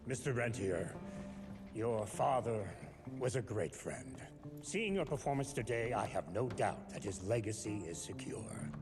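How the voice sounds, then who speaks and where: An actor playing a stately, fatherly American president in a video game, formal, measured and courteous. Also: fairly low pitch, very animated. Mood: approving, warm. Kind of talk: video game cutscene acting. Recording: loud music underneath.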